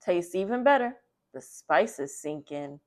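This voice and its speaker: A proud storybook mother, voiced by a woman reading aloud, reassuring her child. She keeps an upbeat manner and makes the best of things in a slightly low voice. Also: animated, takes it slowly, a little mellow.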